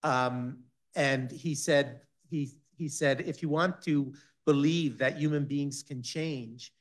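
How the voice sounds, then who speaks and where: Guy on a panel telling a long story, lots of stops and restarts, average pitch. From Israel-based. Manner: halting, many restarts and fillers.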